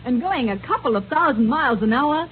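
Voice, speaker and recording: Lively space heroine, tinny old radio. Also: female voice, high-pitched, brisk. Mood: amazed, curious. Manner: bright-eyed, teasing, exclamatory. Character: enthusiastic, plucky young woman space adventurer. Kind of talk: 1930s radio serial acting. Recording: scratchy, tinny 1930s radio recording.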